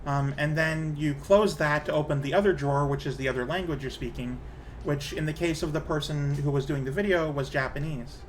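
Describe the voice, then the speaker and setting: A chatty man talks casually while he plays a game, wandering from thought to thought and restarting sentences. His voice sits a bit high for a man. A faint hiss runs underneath. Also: fairly quick. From Canada. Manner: rambling, chatty, restarts a lot. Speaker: streamer. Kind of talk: casual chat while gaming.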